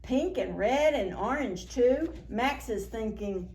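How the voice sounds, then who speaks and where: A woman storyteller reading a picture book aloud to young children in a playful, dramatic, expressive way. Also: a little high, slow pace. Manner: animated, playful, dramatic reading with gasps and exclamations; addresses young children with simple questions.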